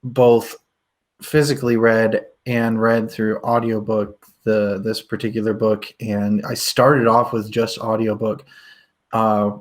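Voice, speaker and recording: A man's voice: fairly low pitch, flat delivery, takes it slowly. A man co-hosting a casual book talk show, rambling and hesitant, often laughing. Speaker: book podcast co-host.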